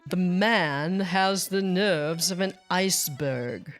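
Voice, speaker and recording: Casting: woman narrator for children's picture books, slightly low voice, very measured delivery, expressive, over music. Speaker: storytime host, children's book reader. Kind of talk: expressive scripted read-aloud narration for children.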